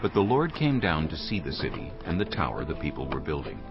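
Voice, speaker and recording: A man narrating scripture from a script in a solemn, deliberate, measured way. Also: extremely low pitch, wildly swooping pitch, vocal fry. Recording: dull audio with loud music behind.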